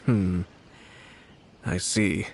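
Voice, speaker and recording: An actor playing a young male noble commissioner in a game, composed and cunning, speaking in a calm, polished way with quiet mockery. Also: deep voice, extremely slow, creaky, a little hiss or hum, heavily acted. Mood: cutting. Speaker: game character. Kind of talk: video game cutscene voice acting.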